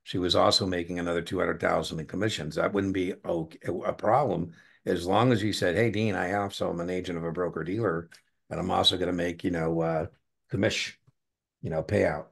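A middle-aged American man with a gravelly voice tutoring one-on-one over a video call, informal, encouraging and given to tangents. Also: sits low, steady tone, fairly quick. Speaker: exam tutor. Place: indoor room on a video call.

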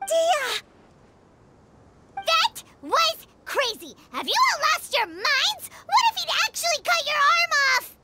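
An actress voicing a tiny, excitable, childlike fairy companion in a video game, squeaky and fretful. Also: female voice, takes it slowly, heavy background noise, full character voice. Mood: scolding. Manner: exclaiming, fretful.

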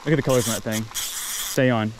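A man hosting a fishing vlog outdoors by a waterfall creek, chatty and excited, laughing often as he talks from a head-mounted camera. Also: mid-range voice, fairly quick, heavy background noise. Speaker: fishing channel host. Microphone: head-mounted camera mic.

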